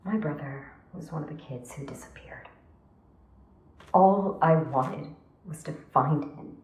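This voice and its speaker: Horror game role: haunted, remorseful woman, halting and earnest, lowish voice in an echoey space. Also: animated. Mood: guilty, sorrowful. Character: guilt-ridden, grieving woman confessing to a companion. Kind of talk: dramatic horror game voice acting.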